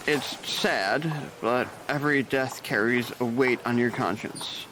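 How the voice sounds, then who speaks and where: An actor playing a jaded male nurse supervisor who talks with calm, dry gallows humor. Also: medium pitch, lightly acted. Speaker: charge nurse. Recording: noisy background.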